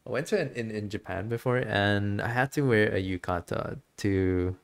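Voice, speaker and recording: A man's voice: lowish voice, moderate pace, creaky. A man streaming a game with casual, musing commentary, a little hesitant as he thinks aloud.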